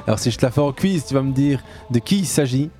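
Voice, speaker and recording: A man's voice: medium pitch, lively intonation, fast talker, dark tone, faint music behind. A man hosting a radio football talk show, chatting casually and playing with words in his intro. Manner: playful, wordplay-heavy intro patter. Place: radio studio.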